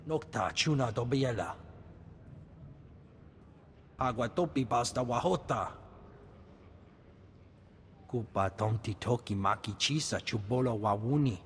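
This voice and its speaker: A man's voice: mid-range voice, unhurried, long pauses, slight background noise, natural voice. Man voicing an alien, declaiming in reverb. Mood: declamatory. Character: male alien speaking an invented guttural tongue. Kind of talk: video game alien dialogue acting.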